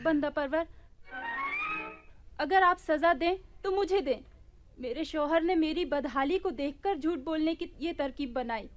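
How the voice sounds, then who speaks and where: An actress playing a loyal, wary wife and accomplice in a radio play. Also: female voice, sits high, unhurried, faint music behind. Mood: pleading.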